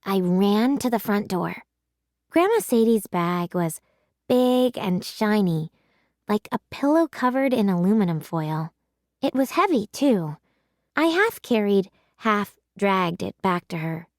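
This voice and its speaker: A woman narrating a children's story in an animated, emphatic storytelling manner. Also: mid-range voice, takes it slowly, dry recording, clean studio sound. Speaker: read-aloud storyteller. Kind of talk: expressive children's audiobook narration.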